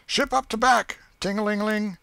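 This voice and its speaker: A man's voice: sits high, fairly even intonation, moderate pace, gravelly, a bit bright, clean tone, no echo, pitched above the performer's own voice. A man voicing a boisterous storybook boy who plays steamboat captain, barking orders and imitating bells and engine noises. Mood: commanding, excited. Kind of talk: storybook character voice in an audiobook.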